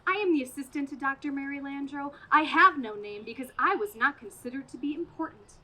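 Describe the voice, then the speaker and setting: A woman's voice: high-pitched, fairly quick, sharp tone. A woman playing an earnest, self-important scientist's assistant in a comic stage radio play, precise and dramatic. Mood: meek, earnest. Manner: precise, dramatic, correcting others. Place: outdoor stage performance.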